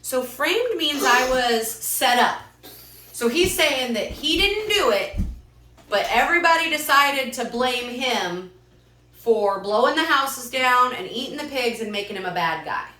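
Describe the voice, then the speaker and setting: Female children's teacher, lively intonation, encouraging and questioning, echoey room. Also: sits high, a little slow, slight background noise. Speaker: teacher reading aloud to children. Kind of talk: interactive children's lesson. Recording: echoey room, distant mic.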